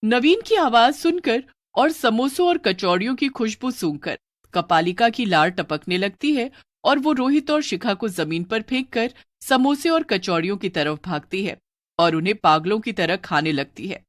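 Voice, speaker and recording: A woman narrates a scripted tale as a dramatic storyteller. Her voice sits fairly high, and the recording is dry with no room sound. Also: animated, normal speed, dry recording.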